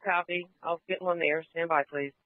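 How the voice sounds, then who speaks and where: A man's voice: a little high, fairly even intonation, moderate pace, no room sound, narrow, tinny audio. A man working as a police radio dispatcher, speaking in terse, clipped procedural phrases over a radio. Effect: police radio transmission. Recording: band-limited police radio audio.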